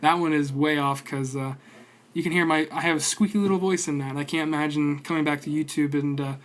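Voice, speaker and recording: A man's voice: on the high side, fairly even intonation, brisk. A man in his twenties giving casual, rambling stock commentary on his stream, full of fillers and restarts. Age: 20s.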